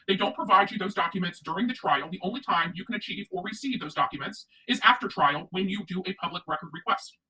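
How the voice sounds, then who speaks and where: A woman's voice: mid-range voice, pushed and bright, distant mic, poor audio. A woman from the United States hosting opinionated livestream commentary, speaking emphatically and repeating her points for stress. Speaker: online commentary show host.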